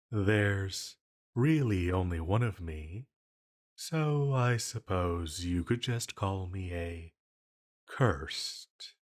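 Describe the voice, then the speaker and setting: Slow, low-voiced ancient villain played by a man. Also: heavily acted. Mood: musing, hesitant. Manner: flirtatious, hesitant, unhurried musing. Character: ancient banished villain entity, flirty, lonely, self-conscious. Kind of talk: intimate scripted roleplay audio.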